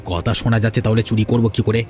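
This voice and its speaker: Low-voiced grumbling village man played by an actor. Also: steady tone, fast, darkish tone, faint music behind, natural voice. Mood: scheming, wary. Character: male village thief and weary elder brother, grumbling. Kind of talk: Bengali cartoon fairy-tale dubbing.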